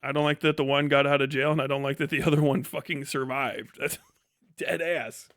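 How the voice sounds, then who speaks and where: A man's voice: medium pitch, fairly even intonation, a little fast, fairly bright tone, dry recording. An American man hosting a livestream, talking casually with blunt, opinionated, sweary commentary. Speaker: livestream host.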